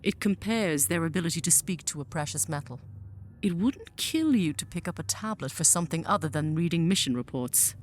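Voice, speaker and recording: A woman playing a commanding alien general in a scripted audio drama, speaking in a formal, measured, imperious manner. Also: deep voice, lively intonation, a little hiss or hum. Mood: smug, dry. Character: commanding alien female general, proud, coldly persuasive mother.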